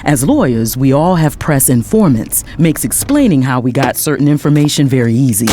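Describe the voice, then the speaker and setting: A woman playing an indignant lawyer who narrates her own story in the first person, dramatic and expressive, with faint background noise. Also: a bass voice, normal speed. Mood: tense, determined.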